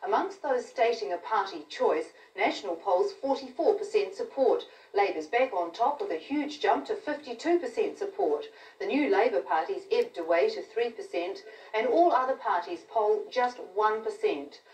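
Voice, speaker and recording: A woman's voice: medium pitch, lively intonation, normal speed, a little mellow. Roomy-sounding New Zealand woman newsreader. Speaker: news presenter. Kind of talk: scripted news reading. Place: television newsroom studio. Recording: roomy, distant capture of TV broadcast.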